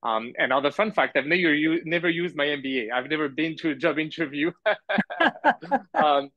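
A man who grew up in France, a podcast guest telling his story casually in a halting, rambling way full of asides. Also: a little high, a bit bright, dry recording. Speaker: podcast guest, business owner. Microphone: remote call. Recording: dull lo-fi audio, close and dry.

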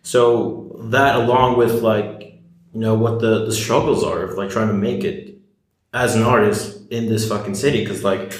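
A man's voice: on the low side, fairly even intonation, normal speed. A man from New City, hosting a laid-back chat full of fillers. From New York City. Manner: laid-back, lots of "like", swears. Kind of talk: casual chat. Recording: echoey room.

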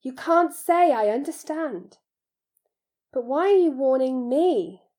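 A woman's voice: sits high, expressive, takes it slowly, warm tone, pitched above the performer's own voice. A woman playing an earnest, anxious, lonely schoolboy with a hesitant manner in an audiobook. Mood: urgent, questioning.

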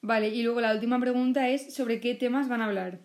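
A woman in her late twenties from Spain, co-hosting a casual podcast chat, relaxed and self-mocking. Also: on the high side, fast talker, reverberant. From Valencia, Spain. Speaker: podcast co-host. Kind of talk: casual chat between friends.

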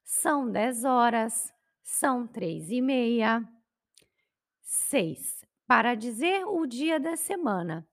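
A woman's voice: fairly high pitch, expressive, takes it slowly. A Brazilian woman with a Brazilian Portuguese accent teaching grammar, carefully enunciating each conjugation. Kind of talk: slow, clear grammar lesson.

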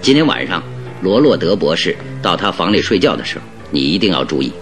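A man playing a shrewd, calm detective in a Mandarin radio drama, speaking deliberately and with emphatic instruction. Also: deep voice, faint music behind. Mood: firm, instructing. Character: shrewd, commanding male detective, calm and exacting.

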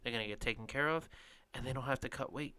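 A man co-hosting a sports podcast, rambling casually and letting his sentences trail off. His voice is bright and smooth. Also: medium pitch, quick pace. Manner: rambling, filler-heavy, trails off quietly. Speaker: podcast co-host.

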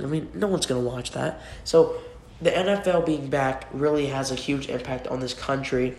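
Young male solo podcast host, sick with a cold, casual and rambling, roomy echo on the recording. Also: fairly high pitch. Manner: rambling, restarts often, informal. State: has a cold. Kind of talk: casual solo sports predictions. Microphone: computer mic. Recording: echoey room with faint noise.

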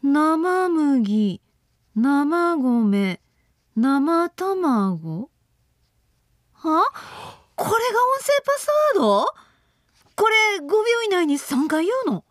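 Casting: frightened young Kansai woman in radio zombie comedy, high-pitched, slow drawn-out delivery, exasperated and pleading. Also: very animated, no room sound, studio quality, exaggerated voice. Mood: incredulous. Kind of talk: comedic radio drama acting.

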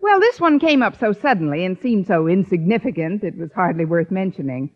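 An actress playing a wry, sharp-tongued American schoolteacher in a 1950s radio sitcom, with dry, sarcastic delivery. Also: female voice, lowish voice, very animated, a little fast. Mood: brisk. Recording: dull vintage radio recording.

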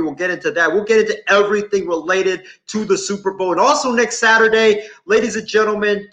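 A man co-hosting a late-night sports podcast, casually thanking listeners and previewing the week with many ums and pauses. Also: high voice, sharp tone. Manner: halting, searching pauses with many fillers.

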